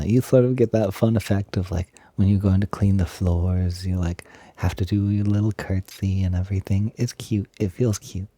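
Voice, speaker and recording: A man playing a gentle, enthusiastic young roommate in an intimate audio roleplay, talking softly, hesitantly and reassuringly with a breathy voice. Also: low-pitched, no room sound, studio quality, full character voice. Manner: soft, hesitant, giggly, reassuring. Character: gentle, enthusiastic young male roommate who loves frilly clothes.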